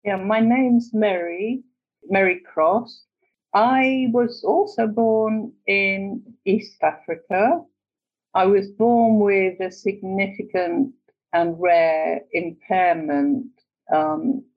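Woman poet talking slow and easy, muffled lo-fi sound. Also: on the low side. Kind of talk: casual conversation. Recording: dull lo-fi audio.

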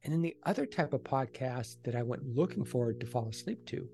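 A man talks as a guest in a conversational podcast interview. His voice has a noticeable creak and sits at an average pitch, with clear music playing alongside him. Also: lively intonation, a little fast, warm tone. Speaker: podcast guest, ASMR creator and author.

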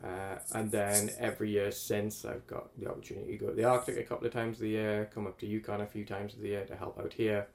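A man's voice: on the low side, little pitch movement, fast, creaky, hardly any pauses, darkish tone. A man, talking casually as an interview guest in a rambling, self-correcting way. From northeast England. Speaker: climate researcher guest.

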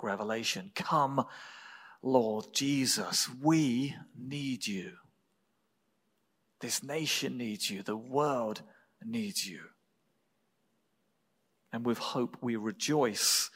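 A man with an English accent preaching a sermon as a pastor, speaking in measured, deliberate phrases with pauses. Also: mid-range voice, fairly bright tone. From England. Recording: reverberant hall.

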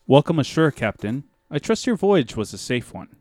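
A man playing a smug, polite martial arts master with a deliberate, deadpan, domineering manner in a comedy audio drama. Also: mid-range voice, no echo, close to an everyday voice. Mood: courteous.

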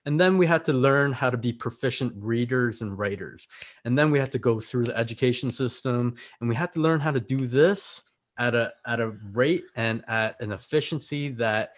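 A man's voice: mid-range voice, normal speed. A man, whose was Korean, talking as a podcast guest in a reflective, earnest way and often checking in with "right?". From Canada. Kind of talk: casual conversation.

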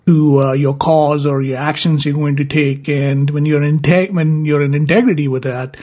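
A man with a slightly rough voice hosting an interview, halting and rephrasing as he works toward his questions. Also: a little high, steady tone, dark tone. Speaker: podcast host, interviewer. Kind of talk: conversational interviewing.

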